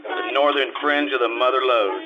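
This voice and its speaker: An actor playing a hard-boiled private detective who narrates and trades dry wisecracks in a 1950s-style radio drama. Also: male voice, medium pitch, normal speed, muffled recording, over loud music, close to an everyday voice. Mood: wry. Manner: dry wisecracks, laconic first-person narration.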